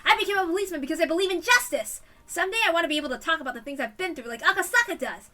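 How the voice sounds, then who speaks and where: A woman voicing an idealistic, hot-blooded young male policeman in an emphatic, excitable way for a story reading. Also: extremely high pitch, very fast, pitched above the performer's own voice, full character voice. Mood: fired up.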